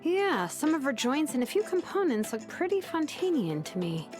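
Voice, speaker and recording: A woman's voice: high-pitched, very animated, normal speed, music in the background. A woman playing a curious, analytical young tinkerer in a video game, explaining technical things in a friendly way. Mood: helpful, casual. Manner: technical, explanatory, hesitant when simplifying.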